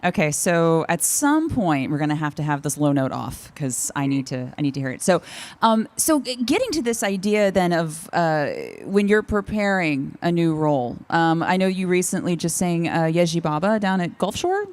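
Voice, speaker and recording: Low-pitched woman hosting a casual interview. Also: animated, moderate pace. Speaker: interviewer, host.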